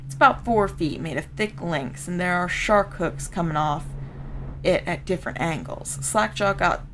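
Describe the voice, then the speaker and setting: A woman's voice: on the low side, moderate pace, a little hiss or hum, close to an everyday voice. A woman voicing a folksy, streetwise street-gang member telling an old story, with a Southern US accent. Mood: streetwise, matter-of-fact. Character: rough street-gang storyteller, plain-spoken old comrade of a boss. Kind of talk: dramatic first-person story reading.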